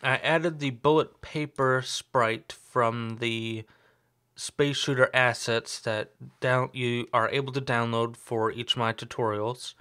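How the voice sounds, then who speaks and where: A man's voice: medium pitch, slow pace, clear, resonant tone. Man with a slight sore throat explaining. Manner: relaxed, explanatory, thinks aloud with fillers. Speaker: tutorial host. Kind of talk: screen-recorded coding tutorial.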